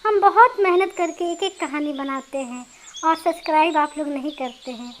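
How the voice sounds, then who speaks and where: A woman's voice: high-pitched, moderate pace, a little hiss or hum. A woman narrating a scripted children's story as a storyteller.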